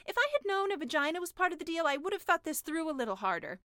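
Woman acting young mermaid, high-pitched, guileless, eager, impatient, perky, comic audiobook fairy tale, dry sound. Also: very animated, fast, fairly bright tone, dry recording, full character voice. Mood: puzzled, wary.

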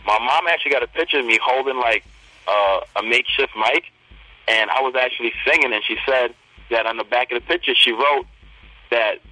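A man's voice: mid-range voice, brisk, pushed and bright, no room sound, low-quality recording. A young man from Trinidad, a rapper, talking confidently and promoting himself in a casual interview. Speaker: rapper guest.

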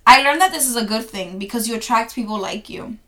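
A young woman from the Dominican Republic with a Dominican accent, reading her own journal entries aloud in a soft, reflective, earnest way. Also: a little high, animated, a little fast. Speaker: journaling vlogger.

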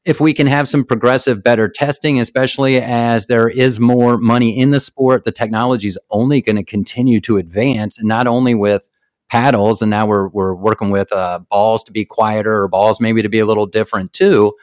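A man's voice: fairly low pitch, steady tone, brisk, a little mellow, dry recording, clean studio sound. A man in his fifties hosting a casual sports interview, talking in an upbeat, emphatic way and leaning into his points. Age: 50s. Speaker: podcast host.

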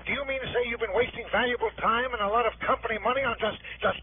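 A man's voice: very high-pitched, fairly even intonation, racing, breathy edge, narrow, tinny audio. A man playing a short-tempered, fussy, penny-pinching insurance official in an old-time radio drama, sputtering and querulous. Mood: indignant, blustering. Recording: noisy, band-limited old radio broadcast.

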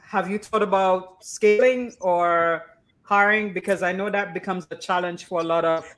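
A woman's voice: lowish voice, steady tone, moderate pace, a bit bright, rough recording. Woman co-host from Trinidad and Tobago. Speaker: podcast co-host. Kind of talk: casual podcast chat.

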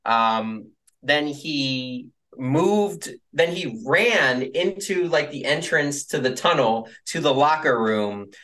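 A man's voice: fairly high pitch, animated, takes it slowly, fairly bright tone, low-quality recording. A young man co-hosting a podcast, recapping events in a rambling, filler-heavy casual way. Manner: rambling, many fillers and hedges. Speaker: podcast co-host.

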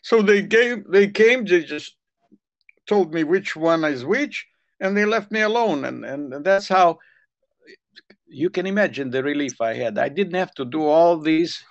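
A man's voice: a little high, lively intonation, a little slow, dull audio. Older Hungarian-accented man telling stories. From Ukrainian Soviet Republic. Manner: rambling storyteller, repeats words when restarting. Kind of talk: casual chat.

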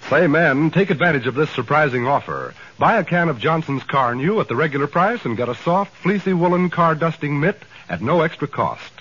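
A man with an American accent reading a scripted 1940s radio sales pitch, addressing listeners directly like a salesman. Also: sing-song, fairly quick, hardly any pauses, fairly bright tone, husky. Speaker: commercial announcer. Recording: dull vintage 1940s radio recording.

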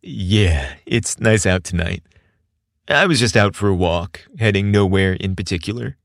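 A man voicing a bashful, friendly young man in a story, with a soft, casual, slightly awkward way of talking. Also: on the low side, a little slow, vocal fry, dry recording, clean studio sound. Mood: timid.

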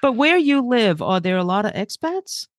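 A woman interviewing in a casual, conversational way. Her mid-pitched voice moves up and down in a lively way, recorded dry with little room sound. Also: moderate pace, no echo. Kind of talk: casual chat.

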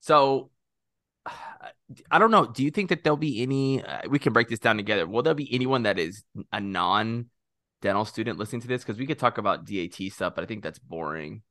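A man's voice: on the low side, expressive, brisk, fairly bright tone, no room sound, clean studio sound. A young man from Texas co-hosting a podcast, chatting casually in rambling, self-interrupting talk with jokes. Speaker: podcast co-host.

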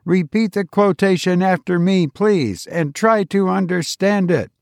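A man voicing a flat, unfeeling robot teacher. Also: sits high, a little slow, vocal fry, dry recording, clean studio sound, pitched above the performer's own voice. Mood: instructive. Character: calm strict robot teacher, genderless machine.

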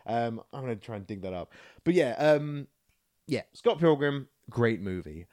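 A man co-hosting a podcast, talking casually and in a rambling, animated way about films and games. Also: medium pitch, normal speed, no echo, clean studio sound. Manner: animated, rambling, acts out quotes. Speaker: podcast co-host. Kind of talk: casual film and video game chat.

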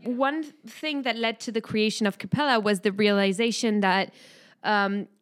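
A woman's voice: mid-range voice, fairly even intonation, normal speed, smooth. A young woman from France with a French accent, co-hosting a casual podcast chat, friendly, with frequent laughs and fillers. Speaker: podcast co-host.

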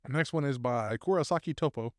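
A man co-hosting a podcast, reading stories aloud in a loose, casual way with fillers and laughter. His voice sits at a middle pitch. The sound is dry and close to the mic. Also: a little fast, dry recording.

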